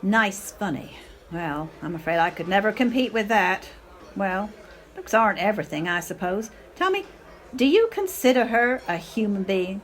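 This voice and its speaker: A woman's voice: medium pitch, animated, moderate pace, close to an everyday voice. An amateur actress playing a bitter, drunken middle-aged mother in a radio play, theatrical and martyred, with dramatic hesitations. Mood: arch, wounded.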